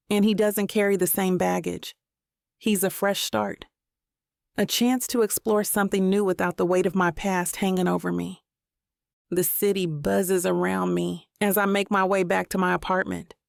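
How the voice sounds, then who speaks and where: A woman's voice: medium pitch, creaky, dry recording, studio quality. A woman reading scripted first-person romance fiction as an audiobook narrator.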